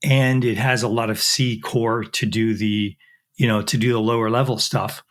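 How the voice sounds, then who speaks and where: A man's voice: fairly low pitch, level tone, moderate pace. A middle-aged man, a chemistry professor, explaining technical work in an interview with frequent fillers and restarts.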